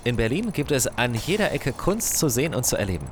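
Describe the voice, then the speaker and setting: A man's voice: medium pitch, big pitch swings, a little fast, noisy background. A man hosting a city podcast, reading a scripted intro and chatting with guests. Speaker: podcast host. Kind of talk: scripted narration and casual chat.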